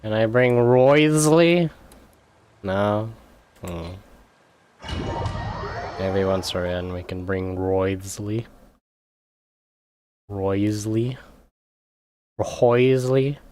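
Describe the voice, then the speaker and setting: A man's voice: on the low side, fairly even intonation, very slow, pauses often, a little mellow, dry recording. A man giving casual, rambling video game commentary, often repeating words to himself, with game music behind him.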